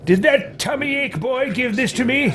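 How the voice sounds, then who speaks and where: A man's voice: very high voice, moderate pace, background noise. A man voicing a haughty, irritable, self-important wizard while roleplaying over a video game, with pompous, indignant theatrics.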